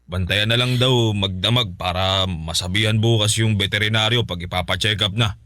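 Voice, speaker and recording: A man's voice: lowish voice, moderate pace, no echo. A man voicing an easygoing, good-natured husband in a radio drama. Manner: relaxed, joking.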